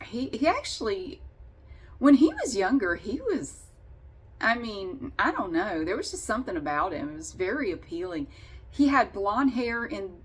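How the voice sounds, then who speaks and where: A woman's voice: mid-range voice, fairly bright tone, husky. A woman vlogger casually telling a personal story in a candid, wry and sarcastic way.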